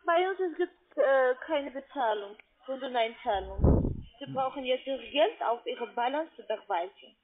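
Woman, high pitch, non-native foreign accent, halting sentences, narrow telephone sound. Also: lively intonation. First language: not German. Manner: halting, broken phrasing. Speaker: call centre agent. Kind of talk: phone sales call. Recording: narrow-band phone line.

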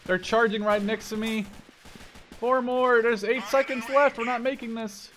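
A man's voice: very high voice, steady tone, moderate pace, noisy background. A man hosting a gaming video, commenting casually on gameplay and thinking aloud. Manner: thinks aloud, mild swearing. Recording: gunfire and clicks behind voice. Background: video game gunfire.

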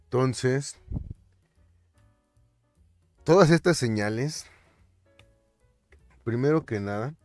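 A man's voice: medium pitch, drawn-out words, long pauses, dry recording, soft background music. Chatty Mexican man on a finance vlog. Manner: animated, chatty, stumbles and repeats words, slips in English terms. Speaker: finance vlogger. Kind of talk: casual commentary over screen captures.

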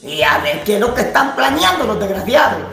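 An older man from El Salvador arguing emphatically in a casual on-camera interview, animated and gesturing. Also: high voice, fairly quick. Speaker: self-styled apostle. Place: small indoor room. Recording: echoey room with faint music.

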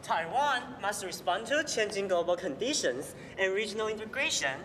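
A man's voice: sits high, sing-song, normal speed, over music. A young man reciting questioning lines in a school stage skit. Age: young adult. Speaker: student performer. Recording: hall reverb from far off.

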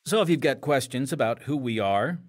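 A man's voice: medium pitch, expressive, a little fast. A man hosting an audio drama podcast, reading announcements with casual, conversational asides. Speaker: podcast host.

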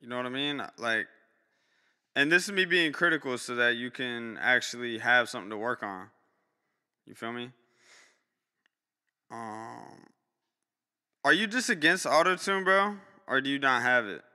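A man's voice: unhurried, pauses often, bright, forceful tone, big-room sound. A young man on a live music-review stream, giving blunt, slangy feedback to artists and swearing casually, recorded on a close studio mic in a small home studio. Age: young adult. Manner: blunt, slangy, swears, jumps high in pitch when reacting. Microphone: studio mic close up, headphones on.

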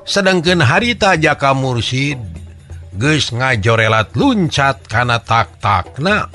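A man's voice: medium pitch, expressive, slow, sharp tone, faint music behind. A man with a Sundanese accent narrating a folk tale as a dramatic oral storyteller.

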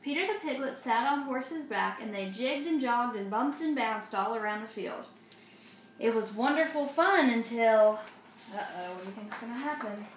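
A young woman with a fairly high voice speaks to children as a storyteller and presenter. She is recorded in a roomy home space with clear noise. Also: lively intonation, moderate pace. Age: young adult. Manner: child-directed, asks the listener questions. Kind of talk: children's read-aloud and simple instruction. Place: indoors, home dining room.

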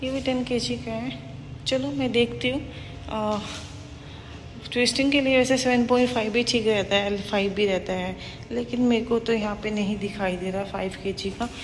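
A woman's voice: fairly high pitch, steady tone, normal speed. A woman in her thirties filming a casual workout vlog on her phone in an empty hotel gym, explaining exercises in a chatty, instructive way and mixing English words into her talk. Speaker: fitness vlogger. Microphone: phone camera, handheld and propped. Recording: echoey gym hall with noise.

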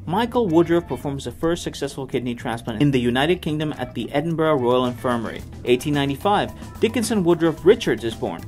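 A man with a slightly high voice reads scripted narration as the presenter of a history series. Music plays quietly behind him. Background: background music.

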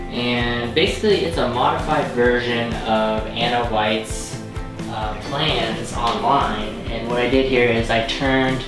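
Man, 30s, laid-back DIY host walking through a build, mid-range voice, clear music bed underneath. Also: medium pitch. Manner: relaxed, explanatory, frequent "uh" fillers. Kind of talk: casual how-to narration. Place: small home laundry room, then voice-over over garage workshop footage. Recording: voice over clear background music. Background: background music.

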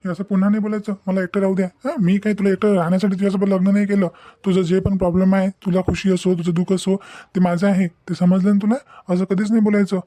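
A man's voice: sits high, level tone, quick pace, dark tone, no room sound, lightly acted. A man playing a protective young husband in a cartoon family drama, insistent and lecturing, with frequent questioning hums. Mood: scolding, reassuring. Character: young husband, protective, reasoning and gently scolding. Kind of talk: amateur Marathi cartoon melodrama dialogue.

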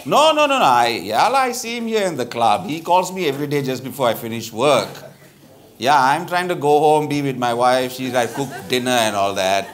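Animated, chatty Malaysian man acting a lawyer. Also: medium pitch, moderate pace, close to an everyday voice. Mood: teasing, gossipy. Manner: animated, blunt, colloquial with particles like lah. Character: chatty, tactless middle-aged lawyer humouring a friend's mother. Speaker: stage comedy actor. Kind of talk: live stage comedy sketch. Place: theatre stage with bar set, live audience. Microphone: lapel mic.

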